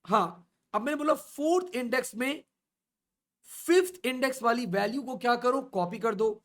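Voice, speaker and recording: A man teaching an online coding class, asking the class questions and answering them himself as he steps slowly through each value. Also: very high voice, fairly bright tone. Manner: slow and repetitive, stepping through values. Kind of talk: online coding lesson in mixed Urdu and English.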